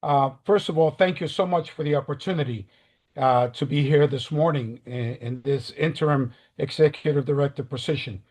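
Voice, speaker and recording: An older man from the Dominican Republic, giving a halting, earnest opening statement in a remote job interview over a video call. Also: fairly high pitch, a little mellow. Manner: earnest, halting with many fillers. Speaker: job candidate.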